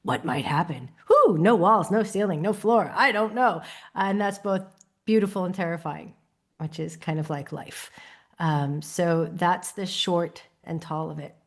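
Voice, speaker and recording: New York woman, lively storyteller. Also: fairly low pitch, measured pace. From New York City. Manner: expressive storyteller, lively rises and laughs. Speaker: podcast guest, creative studio founder. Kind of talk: conversational interview. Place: lives in Copenhagen.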